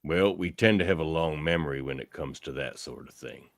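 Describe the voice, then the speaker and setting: Man acting deep-voiced gruff frontier sheriff. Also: a little fast, no room sound. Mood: guarded. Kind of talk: amateur radio theatre acting.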